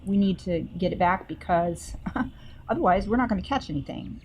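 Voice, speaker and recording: A woman's voice: medium pitch, moderate pace, a little mellow, a little hiss or hum. A woman playing a character in a tabletop game, speaking earnestly with some hesitant fillers. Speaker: player.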